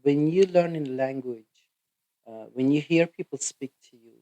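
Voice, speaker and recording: Turkish man, physical therapist guest, accented English, mellow tone, hesitant delivery, dry close recording. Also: fairly high pitch, no room sound, dull audio. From Turkey. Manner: halting, full of "you know" fillers. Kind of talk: podcast interview answers.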